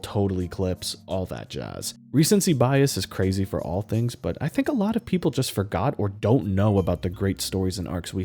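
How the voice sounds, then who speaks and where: Deep-voiced man gushing as a fan. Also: a little hiss or hum. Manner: excited, gushing fan talk. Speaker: YouTube commentator. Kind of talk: enthusiastic fan commentary.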